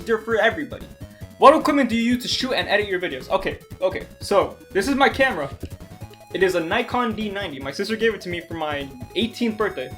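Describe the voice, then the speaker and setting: A young man from Canada with a bright, high voice, running through viewer questions in a loose, joking way. He talks from his bed into a small recorder, and faint music sits under him. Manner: energetic, jokey, quick asides. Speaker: stop-motion animator and channel host. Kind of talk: casual Q&A vlog. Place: bedroom, on a bed. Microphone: handheld recorder.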